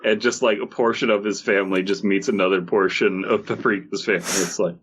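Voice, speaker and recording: A man's voice: on the low side, fairly even intonation, fairly quick, slightly rough. A man co-hosting a comedy podcast in casual banter, swearing freely and repeating phrases for comic effect as he rants. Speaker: podcast co-host.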